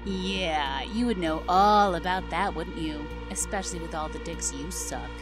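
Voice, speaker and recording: A woman playing a foul-mouthed, aggressive young female hellhound in an animated audio drama, snarling and sarcastic. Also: on the low side, measured pace, lightly acted. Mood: scornful. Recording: loud background music.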